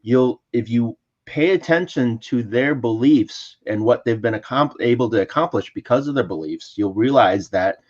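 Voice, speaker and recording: A man's voice: medium pitch, moderate pace, hardly any pauses, highs cut off. A man co-hosting a casual remote chat who laughs often and repeats words as he searches for them. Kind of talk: casual podcast chat over a call. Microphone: remote call mic.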